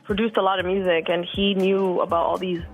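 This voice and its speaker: A woman in her thirties, from Costa Rica, talking casually as a music guest, relaxed, with many fillers and repeated words. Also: on the low side, fairly quick, low-quality recording. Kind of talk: casual interview chat.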